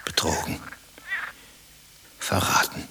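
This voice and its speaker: An actor playing a brooding, bitter betrayed husband in a radio play, speaking in terse, probing, sardonic lines. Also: male voice, slow pace, background noise, lightly acted. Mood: subdued, wounded. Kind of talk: German radio play dialogue.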